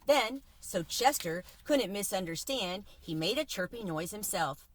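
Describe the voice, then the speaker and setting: A woman storyteller reading a children's novel aloud in an expressive, animated way. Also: medium pitch, a little slow.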